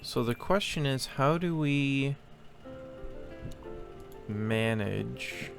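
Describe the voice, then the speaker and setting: A man's voice: medium pitch, animated, extremely slow, creaky. A man giving casual let's play commentary, thinking aloud hesitantly as he plays. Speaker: game streamer. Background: game music.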